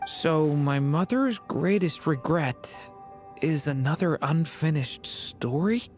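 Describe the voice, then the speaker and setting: A woman voicing a gentle, polite young dragon in human form, a little shy. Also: deep voice, expressive, slow. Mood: curious. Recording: game audio with music underneath.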